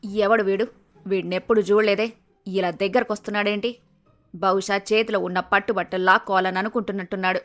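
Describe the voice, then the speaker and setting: A woman playing a feisty, excitable middle-aged mother-in-law in a story. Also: medium pitch, a little fast, faint music behind, close to an everyday voice. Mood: indignant.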